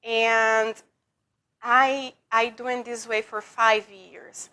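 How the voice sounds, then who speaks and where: A woman's voice: fairly high pitch, little pitch movement, very slow, bright, forceful tone. A woman from Brazil with a Brazilian Portuguese accent and broken English, telling her life story in an interview, often repeating and restarting words. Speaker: guest.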